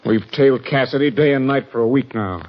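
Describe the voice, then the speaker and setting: A man's voice: medium pitch, brisk, a little hiss or hum, lightly acted. An actor playing a nervous henchman in a radio western, anxious and urgent in manner. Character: nervous henchman and puppet election candidate. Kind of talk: old-time radio western acting. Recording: dull, muffled vintage radio recording.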